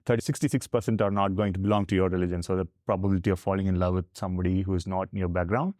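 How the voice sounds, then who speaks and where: A man's voice: low voice, fairly even intonation, very fast, darkish tone, no echo, clean studio sound. A man from South India with a South Indian accent and Tamil as his first language, explaining earnestly as a podcast guest. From Tamil Nadu, South India. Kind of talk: candid explanatory conversation.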